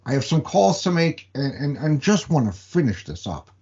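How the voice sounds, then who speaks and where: A man playing a worried, exasperated wrestling promoter with a halting manner in a comedic audio play. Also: fairly high pitch, big pitch swings, darkish tone, muffled recording. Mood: exasperated, weary.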